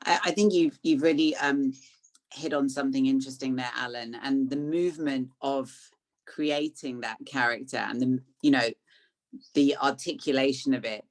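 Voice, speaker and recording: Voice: mid-range voice, fairly even intonation, normal speed. An interviewer asking conversational, wordy questions with effusive, hesitant phrasing.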